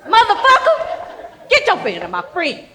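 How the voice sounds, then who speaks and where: Woman playing a mouthy mocking mom in a stage drama, super high sharp voice, swoops around. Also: wildly swooping pitch, normal speed, slight background noise, exaggerated voice. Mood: angry, defiant. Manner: taunting, slangy. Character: brash, mocking, foul-mouthed mother, high and combative. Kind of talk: stage play acting.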